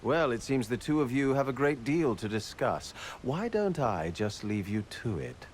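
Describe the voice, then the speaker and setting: A man's voice: mid-range voice, very animated, moderate pace, a little hiss or hum, natural voice. A man voicing a fussy, exasperated city official in a fantasy video game, formal, dry and pedantic. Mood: dry, stuffy. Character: stuffy, exasperated male city seneschal, fussy about rules.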